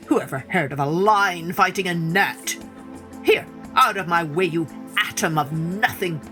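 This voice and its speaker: A woman's voice: fairly low pitch, unhurried, bright, forceful tone, soft background music. A woman voicing a proud, blustering male lion in a storybook reading, with a roaring, pompous manner. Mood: indignant.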